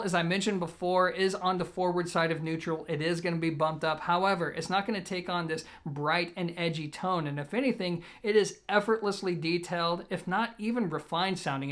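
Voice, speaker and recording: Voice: medium pitch, fairly bright tone. A video host presenting an audio gear review in a semi-scripted, informal way, addressing viewers directly and leaning on the opening words of each point.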